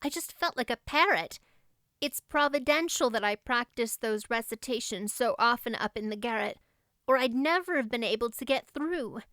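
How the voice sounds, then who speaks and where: A woman's voice: a little high, lively intonation, normal speed, fairly bright tone, dry recording, studio quality, pitched above the performer's own voice. A woman voicing a dreamy, dramatic thirteen-year-old girl in an audiobook, gushing and romantic. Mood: excited, gushing. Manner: breathless, gushing, lofty at times. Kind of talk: audiobook character voice.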